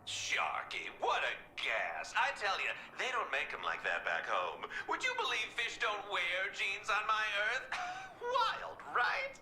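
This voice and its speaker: An actor voicing a manic, mocking psychopathic clown villain in a video game, with theatrical delivery. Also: male voice, high-pitched, wildly swooping pitch, slow, bright, forceful tone, roomy sound, a little hiss or hum, heavily acted. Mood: manic glee.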